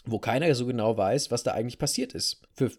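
A man's voice: medium pitch, expressive, fast talker, darkish tone. Man telling a story in conversation. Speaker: podcast host telling a story.

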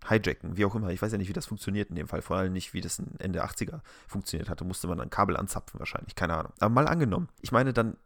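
A man co-hosting a casual podcast, talking in a rambling, halting and incredulous way. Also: low-pitched, expressive, creaky, fairly bright tone, dry recording, clean studio sound. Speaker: podcast co-host.

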